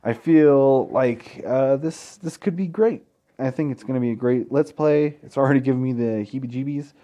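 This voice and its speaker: A young man hosting a casual Let's Play, talking in a halting way and stumbling over his words. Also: medium pitch, dark tone. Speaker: gaming video host.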